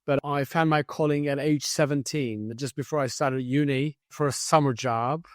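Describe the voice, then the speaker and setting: A man in his fifties, born and raised in Turkey, a head concierge who is a guest on a hospitality podcast and tells his story in a lively way, acting out the dialogue he quotes. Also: mid-range voice, moderate pace, no room sound, studio quality.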